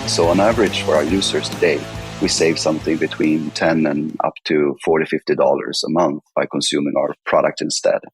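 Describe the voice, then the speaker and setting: A man's voice: very deep voice, flat delivery, brisk, soft background music. A man from northern Sweden with a Swedish accent, answering interview questions conversationally as a podcast guest, sometimes repeating words as he explains. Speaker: podcast guest, company chief executive.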